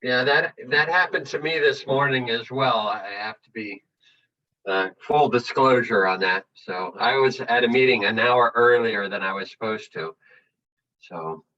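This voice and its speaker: A male host making relaxed small talk and introducing a guest on a video call. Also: mid-range voice, measured pace. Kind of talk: casual video call chat. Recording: distant, dull video call audio.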